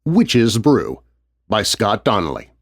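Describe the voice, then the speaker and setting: A man's voice: on the low side, wildly swooping pitch, slow pace. A man narrating a scripted children's horror story. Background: music.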